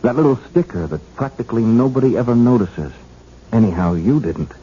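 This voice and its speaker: An actor playing a shrewd, folksy detective who needles suspects in a deliberate, triumphant way, in 1970s radio drama style. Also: male voice, mid-range voice, animated, warm tone, breathy edge. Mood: triumphant, accusing. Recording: muffled, hissy 1970s radio recording.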